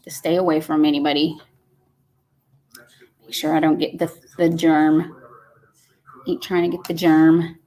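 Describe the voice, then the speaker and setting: A woman's voice: sits low, level tone, a little slow, long pauses, dark tone. A woman, hosting a casual live stream and chatting folksily as she reads comments aloud. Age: 50s. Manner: chatty and folksy, says y'all.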